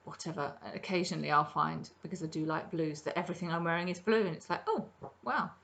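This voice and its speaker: A woman's voice: on the low side, fast talker, vocal fry, a bit bright. A middle-aged woman hosting a crafting podcast from her kitchen, chatting casually about her projects with plenty of ums. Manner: chatty and rambling, many ums. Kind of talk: casual show-and-tell chat. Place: home kitchen, indoors.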